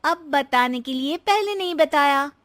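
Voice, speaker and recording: A woman plays a young daughter-in-law in a cartoon family tale, with a very high, emphatic voice. She is plaintive and fed up with her household. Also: expressive, unhurried, fairly bright tone. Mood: annoyed. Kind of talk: animated moral story dialogue.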